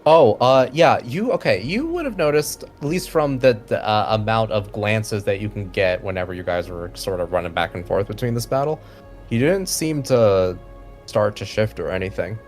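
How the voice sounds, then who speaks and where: Low-voiced man running a tabletop game. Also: lively intonation, moderate pace. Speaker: game master. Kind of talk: improvised tabletop game narration. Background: quiet music.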